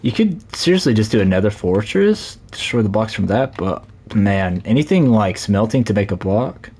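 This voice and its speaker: Low, gravelly scratchy-voiced man. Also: sing-song, moderate pace, darkish tone. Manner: rambling, self-correcting, many fillers. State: hoarse from talking a lot. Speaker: Minecraft live streamer. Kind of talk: casual live-stream chat while playing.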